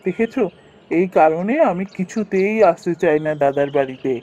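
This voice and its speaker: A man's voice: sits high, animated, moderate pace, mellow, highs cut off. A man voicing a poor, humble younger village brother in a cartoon, weary and resigned in manner. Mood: hurt. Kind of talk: cartoon voice acting.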